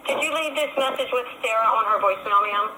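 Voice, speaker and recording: A woman answers the phone as a receptionist, in short replies. Her voice sits a little high. It comes over an echoey telephone line with loud noise. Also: quick pace. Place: far end of a phone call.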